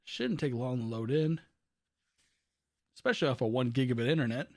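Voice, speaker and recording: A man's voice: mid-range voice, fairly quick, no room sound. A man with a voice worn from overuse, rambling casually through live gameplay commentary and often correcting himself. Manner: rambling, self-correcting chatter. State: losing his voice. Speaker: live streamer.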